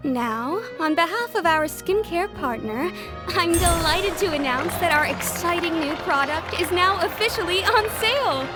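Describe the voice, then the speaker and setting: A woman's voice: very high-pitched, lively intonation, unhurried, loud music behind the voice. A woman playing a polished, cheerful shop spokeswoman in a game, making a formal, enthusiastic promotional announcement. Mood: upbeat, formal. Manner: formal, enthusiastic presenter delivery.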